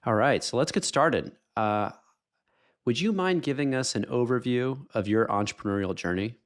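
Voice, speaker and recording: A man's voice: mid-range voice, normal speed. A man hosting a podcast, reading a polished intro and ad before asking interview questions. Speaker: podcast host.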